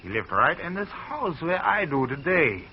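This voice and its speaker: An actor playing a hesitant, excitable rural man in an old radio drama, his voice wavering. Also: fairly high pitch, moderate pace, slight background noise. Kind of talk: 1940s radio acting. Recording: dull old radio recording.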